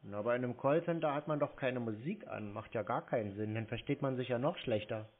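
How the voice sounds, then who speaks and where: A man speaks in a mid-pitched voice with a flat, provocative delivery. His answers are curt and casual, and the audio is narrow and tinny like a phone line. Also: a little fast, crowd noise. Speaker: prank call recipient baiting scam callers.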